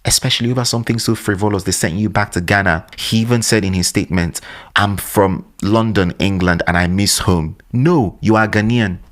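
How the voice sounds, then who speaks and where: A man with a low voice. He gives opinionated commentary with animated energy. He fires off scolding rhetorical questions. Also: a little fast.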